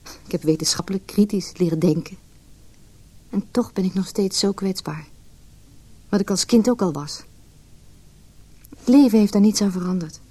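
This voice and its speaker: Husky, mellow actress as wounded woman nearing forty. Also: medium pitch, moderate pace, long pauses, natural voice. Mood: pained, reflective. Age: late 30s. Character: vulnerable, self-questioning educated woman nearing forty, narrating her pain. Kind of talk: introspective radio drama monologue.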